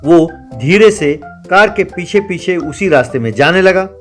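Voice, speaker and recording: A man's voice: high-pitched, lively intonation, a little slow, soft background music. A man reading scripted narration for a children's cartoon story.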